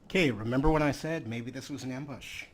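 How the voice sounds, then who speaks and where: A man's voice: mid-range voice, quick pace, heavy background noise. A man doing casual, joking let's play commentary, with dry asides over a video game. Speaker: let's play host and narrator. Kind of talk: casual game commentary, reading dialogue choices aloud.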